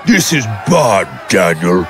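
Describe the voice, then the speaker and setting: A man's voice: deep voice, sing-song, slow pace, fairly bright tone, shouting, some chatter behind, exaggerated voice. A man playing an evasive demon, grave and dramatic, full of foreboding in an audio drama. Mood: guarded. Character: evasive male demon, ominous and fearful.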